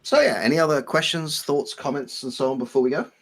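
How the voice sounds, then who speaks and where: A man's voice: on the high side, fast, a bit bright. A man from Belgium whose first language is French, co-hosting a casual live stream and explaining things in a digressive way. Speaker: livestream co-host, game asset developer.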